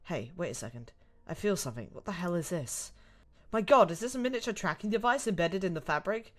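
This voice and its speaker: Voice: mid-range voice, expressive, brisk, close to an everyday voice. A reader voicing a sarcastic, indignant young woman held captive, dramatic and exclamatory, in an amateur drama. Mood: indignant, exasperated.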